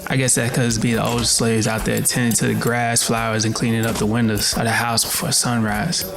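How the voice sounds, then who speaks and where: A man's voice: medium pitch, little pitch movement, a little fast, creaky, natural voice. A man playing an enslaved young man with a Southern US accent, telling of plantation life in a plainspoken, weary, folksy dialect in a dramatic narration. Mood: reflective. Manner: folksy, storytelling. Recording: music under the voice.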